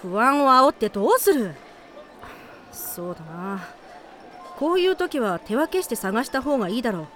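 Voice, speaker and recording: A woman's voice: on the high side, big pitch swings, moderate pace, pauses often, fairly bright tone, slight background noise. A woman playing a brusque, confident female teacher in an anime audio drama, wry and commanding with mannish phrasing. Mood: brisk, commanding.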